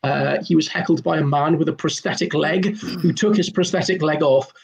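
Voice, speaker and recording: A man hosting a casual comedy chat over a video call, wry and quick with quips, recorded at home. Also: fairly high pitch, gravelly, husky. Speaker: host.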